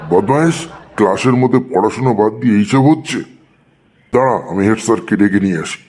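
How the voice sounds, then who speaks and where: A man's voice: on the low side, fast, a little mellow, a little hiss or hum, natural voice. A man voicing a stern, easily angered schoolteacher who scolds in a cartoon comedy. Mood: angry.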